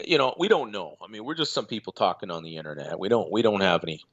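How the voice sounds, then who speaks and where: A man calling in as a guest, talking in a measured, hesitant way with many fillers. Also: low voice, lively intonation, a bit bright, dull audio. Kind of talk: casual remote call-in chat.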